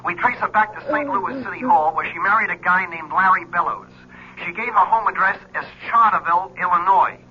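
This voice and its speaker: An actor playing a brisk, chatty police lieutenant in a 1970s radio drama. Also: male voice, high-pitched, pushed and bright, slightly rough, full character voice. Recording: muffled old radio broadcast with faint noise.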